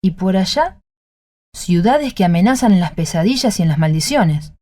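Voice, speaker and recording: An actress playing a wondering female explorer in a literary radio drama, expressive and full of wonder. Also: lowish voice, normal speed, dry recording. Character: wondering female explorer describing rooms of maps.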